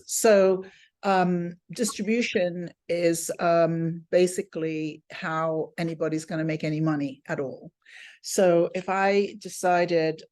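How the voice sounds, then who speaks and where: A woman's voice: fairly low pitch, a little mellow. A woman with an effusive, exclamatory manner, teaching an informal online class over a video call. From England. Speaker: teacher of a film finance class.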